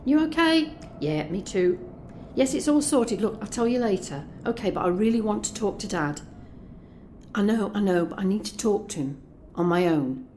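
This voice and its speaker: A woman's voice: medium pitch, lively intonation, normal speed, warm tone, a little hiss or hum, lightly acted. A woman playing an anxious but determined young escort in an intimate, conversational audio drama monologue. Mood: tender, nervous. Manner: confiding, conversational.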